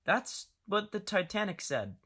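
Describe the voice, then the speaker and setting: A woman's voice: mid-range voice, big pitch swings, unhurried, a bit bright, slightly rough, full character voice. A woman in an amateur audio drama playing a skeptical young passenger with doubting, teasing questions.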